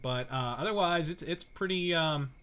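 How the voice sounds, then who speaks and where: Guy streaming a game, swears freely, bright sharp-edged voice, speaks his mind. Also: fairly high pitch, normal speed. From United States. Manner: blunt, profane, opinionated. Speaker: streamer host playing a game. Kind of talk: casual live-stream chat.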